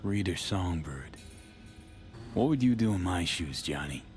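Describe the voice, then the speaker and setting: Deep-voiced streetwise mercenary. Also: male voice, expressive, a little slow, faint music behind. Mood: uncertain. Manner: terse, casual, slangy. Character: streetwise male mercenary, wary and casual. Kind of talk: cinematic video game acting.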